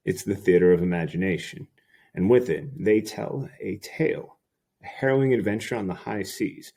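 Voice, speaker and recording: A man's voice: low-pitched, creaky, warm tone. A man hosting a podcast, speaking in a wordy, effusive way as he introduces and chats with guests. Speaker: podcast host. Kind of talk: casual interview chat and scripted introduction. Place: remote group call recording.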